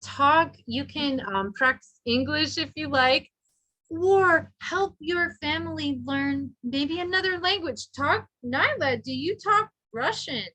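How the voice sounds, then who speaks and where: A woman's voice: sits high, slow, sharp tone, poor audio. A woman teaching an adult English class, patient and encouraging, who models her words carefully for her learners.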